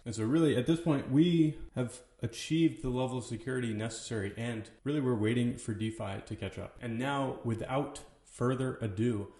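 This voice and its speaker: A man's voice: medium pitch, warm tone. A man hosting a podcast, speaking with casual, slangy enthusiasm in both introductions and conversation. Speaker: podcast host and company co-founder. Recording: roomy, echoey voice recording.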